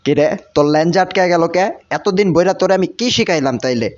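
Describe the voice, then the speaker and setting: A man's voice: high voice, fast talker, soft background music, pitched above the performer's own voice, lightly acted. A man with a Bangladeshi accent voicing a harried, scolding cartoon mouse teacher in colloquial dialect. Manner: scolding, blunt, exasperated. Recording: muffled lo-fi audio with clear noise.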